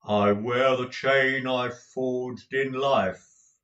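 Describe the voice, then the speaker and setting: A man voicing a doomed ghost in chains, speaking slowly and gravely. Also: mid-range voice, steady tone, a bit bright, highs cut off. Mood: solemn. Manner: slow, drawn-out, grave delivery. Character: doomed male ghost in chains, solemn and mournful.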